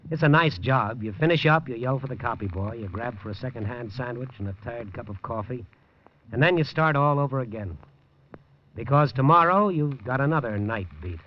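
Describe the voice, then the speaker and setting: An actor playing a world-weary newspaper reporter who narrates his own story in a hard-boiled, low-key and wry way, in 1950s radio drama style. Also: expressive, fairly quick, close to an everyday voice. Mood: reflective. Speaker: first-person narrator and lead. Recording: dull lo-fi 1950s radio recording.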